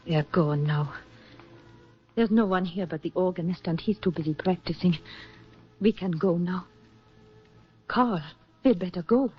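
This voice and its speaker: A woman's voice: lowish voice, a little slow, long pauses, slightly rough. A woman playing a protective young woman sheltering a hunted man in a 1940s radio drama, controlled and urgent. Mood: gentle. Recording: muffled vintage radio with music bed.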